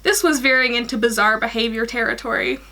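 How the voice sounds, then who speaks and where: A young woman in her twenties reading fan fiction aloud in an animated way, stumbling over the odd sentence. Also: a little high, brisk. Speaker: guest story reader. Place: small attic bedroom.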